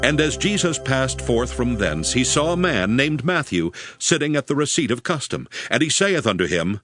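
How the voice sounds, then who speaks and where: A man's voice: medium pitch, big pitch swings, moderate pace, a bit bright. A man narrating dramatized scripture in a formal, solemn storytelling manner. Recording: music behind the voice.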